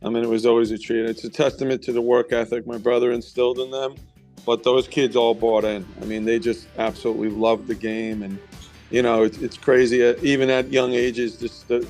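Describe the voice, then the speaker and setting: A man's voice: medium pitch, level tone, brisk, darkish tone, faint music behind. A middle-aged man, a youth club founder, speaking casually in an interview.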